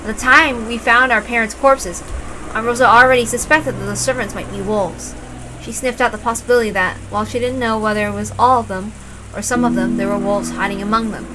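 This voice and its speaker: High-voiced woman reading a story aloud. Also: fairly even intonation, a little fast, bright, forceful tone, a little hiss or hum, rough recording. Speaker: reader, narrator. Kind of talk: expressive story read-aloud of a visual novel.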